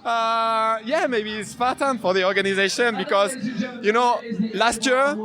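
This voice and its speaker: High-voiced man with halting English. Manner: halting, searching for words. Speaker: race athlete. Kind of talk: casual interview answers in non-native English. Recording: music playing behind.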